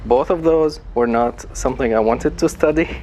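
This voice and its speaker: A man's voice: mid-range voice, moderate pace, a little mellow, slight background noise. A man in his thirties from Syria, a bootcamp student speaking candidly and reflectively in a personal interview. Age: 30s.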